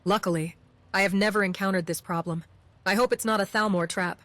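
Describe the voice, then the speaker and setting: A woman's voice: mid-range voice, steady tone, brisk, fairly bright tone, close to an everyday voice. A woman voicing a secretive innkeeper in a modded fantasy game, speaking disjointed lines in an earnest manner.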